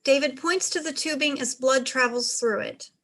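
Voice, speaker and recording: A woman serves as narrator for a play script. She reads out stage directions in scripted narration, her voice pitched a little high. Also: moderate pace, a bit bright.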